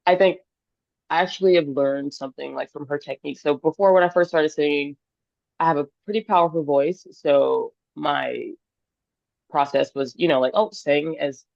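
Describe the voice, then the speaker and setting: A woman's voice: low voice, fairly even intonation, moderate pace, gravelly, darkish tone, no room sound. A woman in her twenties, a singer-songwriter guest on a podcast, talking earnestly and enthusiastically, thinking aloud. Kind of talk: casual podcast interview. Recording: dull lo-fi dry sound.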